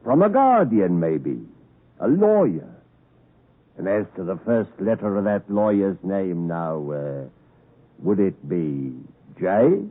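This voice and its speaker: Rough old convict, slow and rustic, swoopy delivery, tinny old 50s radio play. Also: male voice, low voice, sing-song, full character voice. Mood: sly, proud. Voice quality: rustic, uneducated diction. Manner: slow, halting, emphatic phrases. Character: rough, grateful old escaped convict, menacing yet doting. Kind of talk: 1950s radio drama acting. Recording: muffled narrowband 1950s radio broadcast recording.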